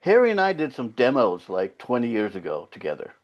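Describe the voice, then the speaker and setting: Man, born in Finland, first language Finland Swedish, mid pitch, casual storytelling, cursing, dull lo-fi sound. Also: huge pitch swings, brisk. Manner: loose, profane storytelling. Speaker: singer, guest. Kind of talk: casual interview chat.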